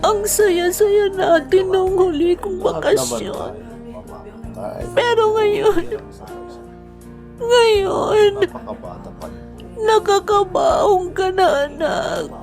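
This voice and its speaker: A woman playing an anguished, bitter grieving mother in a Tagalog radio drama, with a drawn-out, emotional delivery. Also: extremely high pitch, music in the background, heavily acted. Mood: anguished grief.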